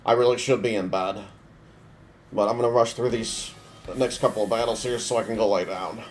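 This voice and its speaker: A young man with a cold, his voice stuffy, giving relaxed, offhand commentary while playing a mobile game. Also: lowish voice, brisk, slight background noise. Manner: relaxed, offhand, thinking aloud. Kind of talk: casual gameplay commentary.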